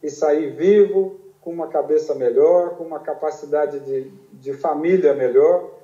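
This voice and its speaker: A man's voice: a little high, a little slow, warm tone, roomy sound, lo-fi sound. A man from Brazil, a doctor, giving earnest, exhorting advice in an interview. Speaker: doctor answering questions.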